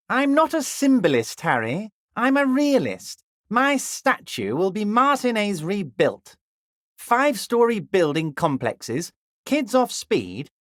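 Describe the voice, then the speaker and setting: A scheming, jovial union boss from a video game, smooth and folksy with an ingratiating, salesman-like charm. His pitch swings widely, rising brightly then sinking into a low, confiding murmur. The voice sits dry and close, with no room around it. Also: no echo, heavily acted. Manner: drops to a deep, confiding murmur on names. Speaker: union leader.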